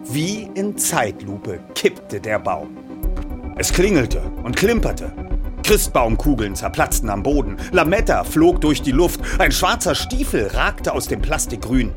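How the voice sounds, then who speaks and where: A man's voice: mid-range voice, a little slow. A man reading a story aloud as a dramatic, scripted narration. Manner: animated storytelling with acted dialogue. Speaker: audiobook narrator. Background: music accompaniment.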